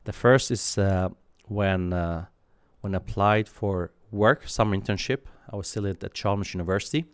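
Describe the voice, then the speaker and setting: Low-voiced halting man being interviewed. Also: clear, resonant tone, dry recording, studio quality. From Sweden. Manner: thoughtful, halting, many fillers and restarts. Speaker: guest. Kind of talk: interview answers.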